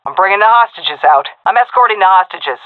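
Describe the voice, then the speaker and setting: An actress playing a tough, wisecracking female Navy SEAL commander in a video game, with brisk, cocky military callouts over a radio filter. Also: medium pitch, expressive, smooth, no echo, narrow, tinny audio. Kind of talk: short tactical shooter voice lines.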